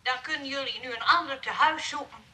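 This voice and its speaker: An actress playing a bossy, easily hurt, resolute nurse in a radio play, speaking briskly and firmly. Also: female voice, high voice, recorded from a distance, very noisy, natural voice. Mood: resolute, offended. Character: bossy, hurt, resolute nurse who runs a rest home.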